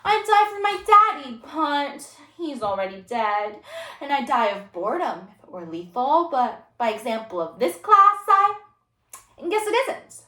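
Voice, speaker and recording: Casting: woman as sassy unruly schoolgirl for solo stage drama, very lively high voice, defiant and flippant, echoey room. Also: unhurried, full character voice. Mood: theatrical, bored. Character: brash, slangy, unruly young female student. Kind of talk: solo dramatic interpretation performance.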